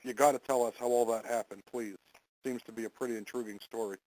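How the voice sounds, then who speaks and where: A man hosting and moderating a conference call in a semi-formal, halting way, stumbling over his wording now and then on a phone line. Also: medium pitch, steady tone, a little mellow, a little hiss or hum.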